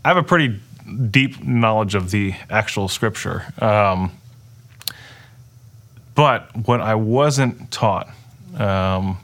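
Earnest, halting middle-aged man, lowish voice. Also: fairly bright tone. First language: Dutch. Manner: earnest, halting, many restarts and fillers. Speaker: interview guest. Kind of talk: personal testimony in conversation.

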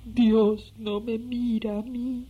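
A woman's voice: a little high, little pitch movement, slow pace, husky, dull audio, soft background music. An actress playing a sick, despairing old grandmother in a radio drama, speaking in a weary lament. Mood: sorrowful, weary. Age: older. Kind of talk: Spanish-language radio drama acting.

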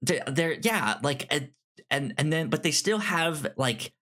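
A man co-hosting a casual film discussion podcast, speaking haltingly and often stammering over repeated syllables. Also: a little high, expressive, pushed and bright. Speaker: podcast co-host.

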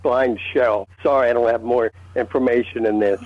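A man's voice: mid-range voice, fairly even intonation, normal speed, crowd noise. A man answering questions in a casual group chat. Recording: dull lo-fi online voice chat.

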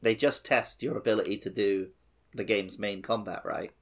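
A man's voice: fairly low pitch, steady tone, normal speed. A man co-hosting a casual gaming podcast, explaining his opinions conversationally. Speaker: podcast co-host.

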